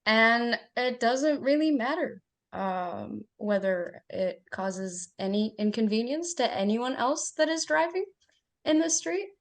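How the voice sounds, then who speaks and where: A woman from Egypt speaks at a somewhat raised pitch, giving an impromptu answer with dry humor and small laughs. She is on a video call. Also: slow pace. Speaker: meeting guest. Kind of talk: impromptu speech.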